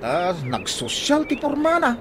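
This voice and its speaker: A man's voice: extremely high pitch, wildly swooping pitch, unhurried, a little hiss or hum, heavily acted. A man playing a chummy, teasing, thrifty office buddy in a comedy radio drama.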